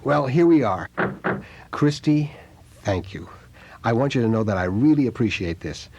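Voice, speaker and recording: Genial father, audio drama, kindly, encouraging, slightly rough husky voice, lively intonation. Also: mid-range voice, normal speed. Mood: hurried, friendly.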